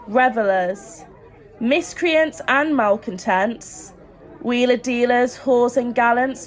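A woman playing a brooding, poetic storyteller in an audio drama, with deliberate dramatic delivery. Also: a little high, rough recording. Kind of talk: scripted audio drama narration. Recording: faint background ambience.

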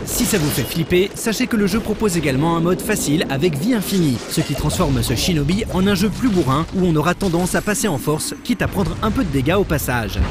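A man reading a scripted video game review in a brisk, lively manner. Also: a little high. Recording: voice-over with loud music bed.

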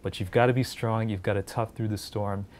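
A man's voice: lowish voice, fairly even intonation, a little fast, darkish tone. A man, earnestly talking to camera in an indoor research lab on a lapel mic. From India, raised in New Jersey. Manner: earnest, encouraging. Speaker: pediatric clinical fellow.

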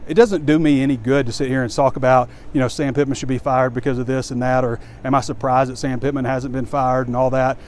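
A man's voice: medium pitch, level tone, fast talker, few pauses, a little mellow. A man in his forties giving frustrated, blunt, rambling walk-and-talk sports commentary into a phone at arm's length. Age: 40s. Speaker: sports reporter and publisher. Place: outdoors, walking around a stadium at night. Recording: outdoor handheld recording with ambient noise.

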